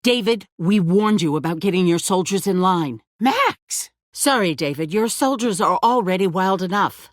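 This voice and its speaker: Poised, commanding settlement leader, lively intonation. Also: female voice, medium pitch, no room sound, studio quality. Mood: stern, commanding. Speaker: voice actor in a video game. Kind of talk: dramatic video game dialogue.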